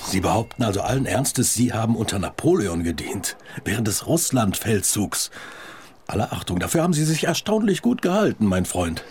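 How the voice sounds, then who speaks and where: An actor in a radio play playing a sceptical, patronising nineteenth-century doctor, ironic and incredulous. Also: male voice, on the low side, big pitch swings, a little fast, darkish tone, slight background noise.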